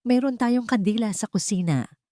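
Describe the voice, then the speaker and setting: A woman's voice: fairly high pitch, normal speed, dark tone, no echo, pitched above the performer's own voice, lightly acted. A respectful young man with a Filipino accent, acted out by a woman storyteller, speaks hesitantly and dutifully in a narrated drama. The sound is dry and close. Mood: gentle, reassuring.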